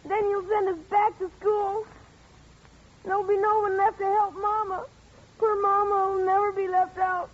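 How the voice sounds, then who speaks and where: A woman's voice: level tone, normal speed. A woman voicing a frightened, grieving young boy in an old-time radio drama, pleading and accusing. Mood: tearful. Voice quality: high childlike voice. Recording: dull lo-fi radio recording.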